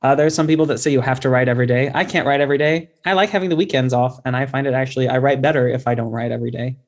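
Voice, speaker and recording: Male guest author, mid-pitched, conversational, thoughtful and self-deprecating in an informal interview. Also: fairly even intonation, racing.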